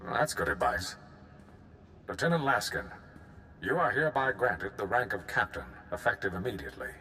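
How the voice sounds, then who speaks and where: A commanding game general with a low, bright, forceful voice. His delivery is formal, gracious and animated, over faint background noise. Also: moderate pace. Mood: formal, approving. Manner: formal, authoritative. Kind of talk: video game cutscene acting.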